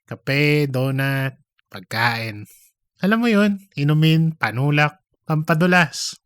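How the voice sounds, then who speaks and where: Performer playing pushy office colleague, comedic audio drama, exaggerated chatty delivery, bright forceful tone, dry recording. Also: medium pitch, takes it slowly, smooth, no room sound. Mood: boastful.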